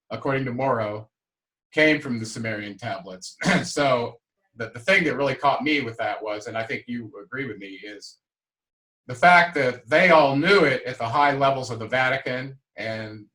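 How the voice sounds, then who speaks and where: Man hosting, halting with many fillers. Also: mid-range voice, sharp tone, low-quality recording. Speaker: podcast host. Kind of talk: casual interview chat.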